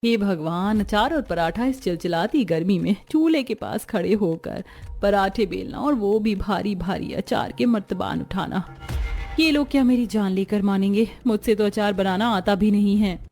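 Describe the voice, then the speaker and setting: A voice actress playing a young new bride who grumbles and whines about housework in an animated story. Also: female voice, medium pitch, normal speed, soft background music, lightly acted. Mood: grumbling. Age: young adult. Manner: whiny, grumbling. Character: young lazy new bride, whiny and complaining.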